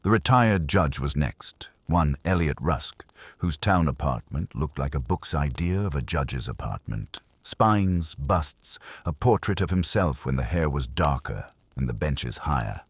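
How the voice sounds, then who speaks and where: Very deep-voiced man narrating detective fiction. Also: lively intonation, a little slow, breathy edge, no room sound. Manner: wry, hardboiled. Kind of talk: first-person scripted detective fiction narration.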